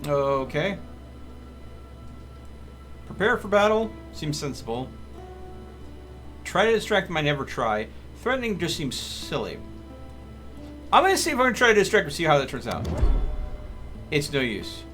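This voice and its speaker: A man streams a video game with casual running commentary. His voice moves around a lot in pitch as he mutters to himself and dramatizes on-screen text. Music plays clearly behind him. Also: very animated, moderate pace, long pauses, fairly bright tone. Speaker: gaming streamer. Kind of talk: casual live gameplay commentary, reading game text aloud.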